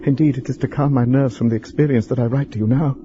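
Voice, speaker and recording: An actor playing a frightened, educated man in a radio horror drama, speaking formally and haltingly as he writes a letter. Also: mid-range voice, fairly even intonation, lightly acted. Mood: oppressed, nervous. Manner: formal, measured, halting delivery with weighted pauses. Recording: dull, lo-fi, noisy radio drama recording.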